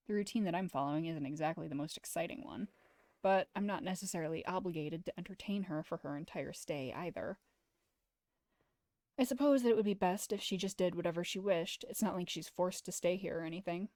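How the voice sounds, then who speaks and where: Woman, slightly low pitch, expressive story narration, visual novel read-aloud, streamer, dry close sound. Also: dry recording.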